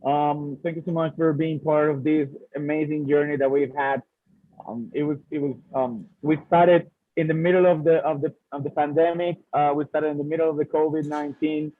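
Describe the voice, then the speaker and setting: A man's voice: fairly high pitch, steady tone, moderate pace, dry recording. A Colombian man in his thirties, a native Spanish speaker, talking informally and presenting his company over an online call. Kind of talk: casual talk and informal presentation. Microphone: video call. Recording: muffled phone-like online call audio.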